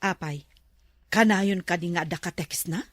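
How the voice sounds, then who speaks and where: A woman's voice: fairly low pitch, moderate pace, no echo, studio quality. A woman with an Ilocano accent, playing a gossipy friend who gives advice in a comedy drama. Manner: gossipy, knowing.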